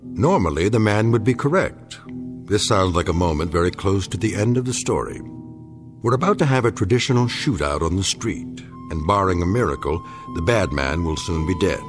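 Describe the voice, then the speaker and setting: A man narrating a scripted radio anthology in a measured, portentous manner. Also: low voice, expressive, music in the background.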